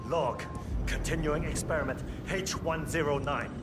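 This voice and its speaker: An actor playing an obsessive, power-drunk scientist in a video game, giving halting commands with intensity. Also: male voice, on the high side, heavy background noise, natural voice. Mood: focused, commanding. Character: obsessive mind-control scientist, Hush, straining and power-drunk. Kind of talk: dramatic video game acting. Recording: very loud music over the voice.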